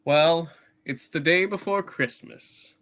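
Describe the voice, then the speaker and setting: A man narrating a scripted play in a hammed-up, deliberate style with dramatic pauses. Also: on the high side, fairly bright tone. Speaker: narrator in a live play reading.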